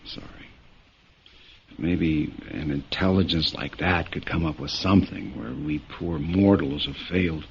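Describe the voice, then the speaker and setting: An actor playing a proud, weary lord and irritable plotter in a radio drama, clipped and stern. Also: male voice, extremely low pitch, measured pace, creaky, husky, heavily acted. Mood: weary, peevish. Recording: dull, noisy vintage radio sound.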